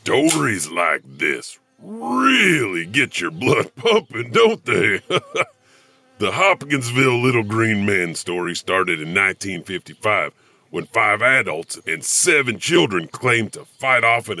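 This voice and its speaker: A man's voice: lowish voice, sing-song, measured pace, pushed and bright, exaggerated voice. A man playing a creepy, sardonic wandering janitor who narrates horror stories theatrically with chuckling asides. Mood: sly, amused. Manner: sardonic, chuckling, rhetorical questions. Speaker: host of horror anthology podcast.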